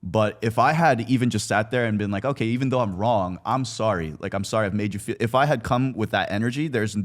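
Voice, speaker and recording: A young adult man with an American accent talks in a loose, colloquial way. His delivery is fast and his voice slightly low, as in a personal conversation between peers on a podcast. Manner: colloquial, peppered with "like" and "you know". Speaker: podcast participant.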